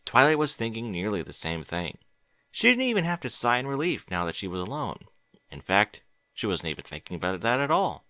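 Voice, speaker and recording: Man narrating a story, bright tone, very animated, close dry mic. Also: lowish voice, a little fast, no room sound. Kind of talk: audiobook reading of fan fiction.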